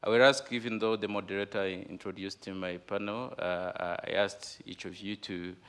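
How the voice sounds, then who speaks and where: A man moderates a conference panel in a very deep, gravelly voice. He speaks haltingly, with many fillers and restarts. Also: level tone, fairly bright tone.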